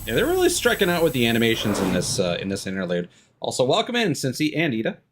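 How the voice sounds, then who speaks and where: A man's voice: medium pitch, huge pitch swings, quick pace, noisy background. A man hosting a livestream, giving casual, rambling, hesitant commentary on a game and chatting to viewers. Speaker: livestream host.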